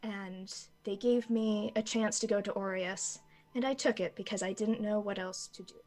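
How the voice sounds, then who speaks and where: A woman playing a troubled female soldier at a tabletop game, speaking haltingly and restarting as she hunts for words. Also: mid-range voice, fairly even intonation, darkish tone, lightly acted. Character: doubting woman soldier, earnest and unsure. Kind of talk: in-character tabletop roleplay.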